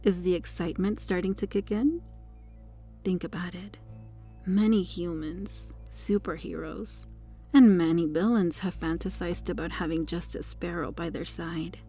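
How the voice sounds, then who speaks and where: Female actor as possessive superheroine kidnapper for ASMR roleplay: dark, relaxed tone with vocal fry, coaxing, sweetly menacing. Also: lowish voice, measured pace, faint music behind. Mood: sweetly controlling. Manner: coy, smug, coaxing, lingering over words. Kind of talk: ASMR roleplay, intimate soft delivery.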